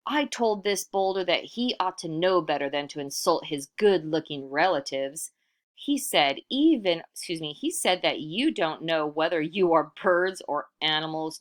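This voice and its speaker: A woman reading a storybook aloud as a sly trickster man, bossy and pleading, with theatrical shouts and wheedling. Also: on the low side, full character voice. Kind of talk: storybook read-aloud character voice.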